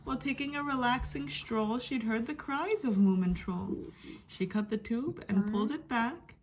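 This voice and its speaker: A woman storyteller giving a dramatic reading of a rhyming picture book, expressive, with hushed and emphatic passages. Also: fairly high pitch, normal speed. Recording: faint background noise.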